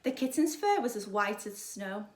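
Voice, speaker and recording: A woman reading a children's story aloud like a primary school teacher, dramatically, with emphatic pauses and hushed drops. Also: medium pitch, sing-song. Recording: roomy, echoing sound.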